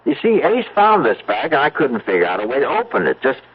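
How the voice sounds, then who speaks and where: An actor in a vintage radio drama playing an excitable, stubborn husband with a folksy, emphatic way of talking. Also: male voice, medium pitch, quick pace, husky. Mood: amazed, excited. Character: excitable, stubborn husband awed by a mysterious discovery. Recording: muffled, noisy old radio recording.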